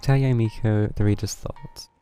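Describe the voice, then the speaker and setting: A man's voice: on the low side, fairly even intonation, normal speed, dark tone. A man live-streaming a game, giving short casual reactions and reading bits of dialogue aloud. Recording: game music behind the voice.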